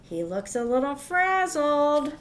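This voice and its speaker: A woman teacher reading a picture book to young children, expressive and instructive, pausing to ask questions. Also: high-pitched, a little hiss or hum. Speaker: teacher reading aloud to pupils.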